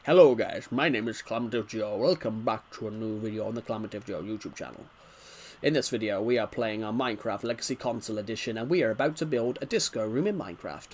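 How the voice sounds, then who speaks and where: A man's voice: on the low side, quick pace, a little hiss or hum, voice pushed down, lightly acted. A man putting on the voice of a composed gaming video presenter reading a scripted intro. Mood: smooth, composed.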